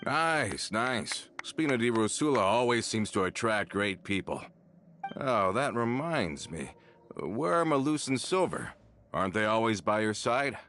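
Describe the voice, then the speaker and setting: Deep-voiced, hearty ex-con gang member. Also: male voice, big pitch swings, measured pace, fairly bright tone, a little hiss or hum. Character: male gang member just back from prison, friendly and blunt. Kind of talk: game voice acting.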